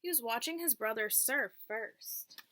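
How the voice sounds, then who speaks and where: A woman's voice: a little high, expressive, measured pace, sharp tone. A woman reading a picture book aloud to young children, teacher-like, in simple phrases and checking in with questions.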